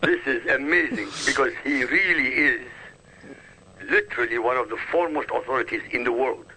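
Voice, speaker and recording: A man's voice: medium pitch, a little fast, pushed and bright, a little hiss or hum, low-quality recording. A man speaking as a radio guest, a native Arabic speaker giving polemical commentary in an emphatic, oratorical manner.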